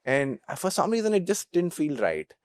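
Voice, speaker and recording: A young man with an Indian accent answering as a startup founder, candid and halting as he repeats words. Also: fairly high pitch, huge pitch swings, no room sound. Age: young adult. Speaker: startup founder being interviewed. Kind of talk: conversational interview.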